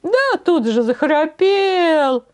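Slow, lilting older woman storyteller. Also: high voice. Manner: addresses listeners like a grandmother, drawn-out expressive phrasing. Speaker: storyteller for children. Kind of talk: folk tale storytelling to camera. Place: small decorated indoor room.

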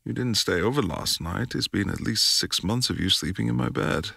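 A man acting a very deep, gravelly, amorous boyfriend. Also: pushed and bright, no room sound, clean studio sound, full character voice. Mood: tender. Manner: breathy, murmuring, lingering hums and pauses. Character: affectionate, playful young boyfriend in love. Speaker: audio roleplay performer. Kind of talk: intimate boyfriend ASMR roleplay. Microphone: close, dry microphone.

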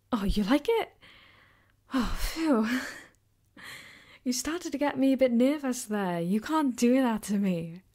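Soft, slow-talking caring girlfriend, acted by a woman. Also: on the high side, full character voice. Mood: affectionate. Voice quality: soft, gentle, sometimes dropping to a whisper. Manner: hesitant, affectionate, reassuring. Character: caring, doting young girlfriend, affectionate and reassuring. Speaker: ASMR roleplay performer. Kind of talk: ASMR girlfriend comfort roleplay.

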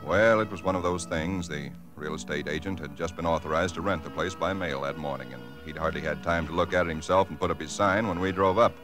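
An actor playing an ordinary, uneasy married man in his thirties, narrating formally and deliberately in a 1940s radio suspense play. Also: deep voice, lightly acted. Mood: sober narrating. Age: 30s. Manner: measured, formal, deliberate storytelling. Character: ordinary married chemical engineer, sober, uneasy, recounting dread. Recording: 1940s radio broadcast with music behind.

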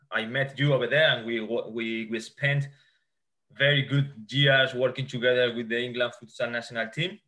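A man from Spain with a Spanish accent talks through his slides on a video call. His voice is bright and forward, and he often circles back to repeat a word before going on. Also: medium pitch. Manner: hesitant restarts, repeated words. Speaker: guest expert, sports scientist. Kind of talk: explaining a slide presentation over a video call. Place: small indoor room. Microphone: video call webcam.

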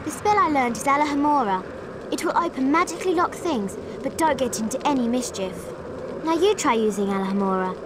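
Eager high-voiced schoolgirl witch. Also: background noise. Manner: prim, instructive, keen. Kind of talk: video game character acting.

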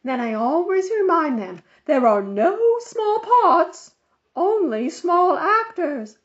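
A woman's voice: extremely high pitch, big pitch swings, takes it slowly, pitched above the performer's own voice, heavily acted. A woman voicing a bossy, self-important middle-aged lady in a storybook, lecturing in a preachy, insistent way. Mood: bossy, emphatic. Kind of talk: storybook character voice. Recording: dull, muffled lo-fi audio.

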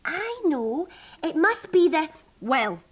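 A woman's voice: sits high, very animated, a little slow, a bit bright, heavily acted. A woman voicing a curious, thoughtful female storybook character who puzzles aloud with emphatic wonder for young children. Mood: pondering.

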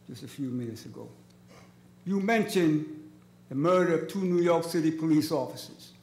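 An older man speaks as a community organizer at a public hearing. His voice sits a little high for a man, and he builds his impassioned case in short, emphatic, repeated phrases. The chamber rings with echo around the table microphone. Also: darkish tone, slight background noise. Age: 60s. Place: large indoor legislative hearing room, seated at a table. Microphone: gooseneck table microphone.

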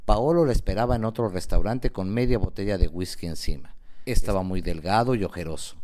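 A man's voice: low voice, fairly quick, a little mellow. A man narrating a scripted radio novela in a dramatic storytelling style.

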